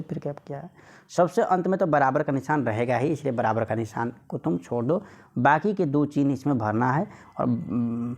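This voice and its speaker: A man's voice: mid-range voice, darkish tone. A man teaching an informal exam lesson, repeating phrases and putting rhetorical questions to students. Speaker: maths exam coach.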